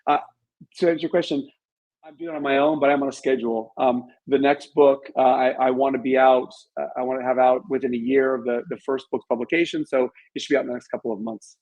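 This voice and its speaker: A middle-aged man with a mid-pitched voice talks as a guest in a casual interview. He tells his stories in a candid, chatty manner and is happy to make himself the butt of them. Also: fairly even intonation, fast, a little mellow, muffled recording. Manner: candid, self-deprecating, chatty. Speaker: interview guest, author and business owner.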